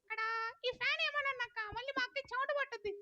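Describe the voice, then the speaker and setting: A performer voicing a cheeky, mischievous little boy puppet in a squeaky childlike voice for a children's puppet show. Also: fairly even intonation, fast, pushed and bright, exaggerated voice. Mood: fussy, chattering.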